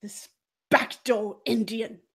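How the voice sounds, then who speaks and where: A woman telling a story in character as a haughty, scheming former cabaret dancer, theatrical and deliberate with dramatic pauses and swells. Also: a little high, fairly even intonation, fairly bright tone, breathy edge. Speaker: player in a murder mystery role-playing game.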